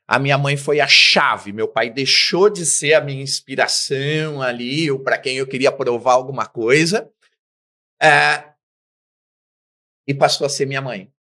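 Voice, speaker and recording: A middle-aged man, a business guest in an interview, telling his life story with lively acted-out dialogue. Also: medium pitch. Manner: animated storyteller, acts out quoted dialogue.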